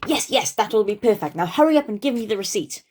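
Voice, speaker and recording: A woman's voice: medium pitch, expressive, fast, darkish tone, a little hiss or hum, voice pushed up, close to an everyday voice. A woman voicing a proud, vengeful male borrower in a children's storybook reading. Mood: pleased, impatient.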